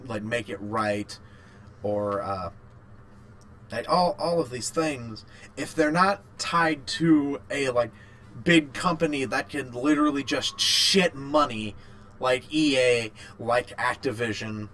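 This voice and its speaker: A man co-hosting a podcast in casual chat, rambling and haltingly repeating his phrases. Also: medium pitch, a bit bright. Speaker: podcast co-host. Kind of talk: casual chat about video games.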